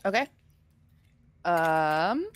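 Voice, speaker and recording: A woman's voice: fairly low pitch, animated, extremely slow, clear, resonant tone. A woman chatting casually as a player in a tabletop role-playing game. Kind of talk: casual chat.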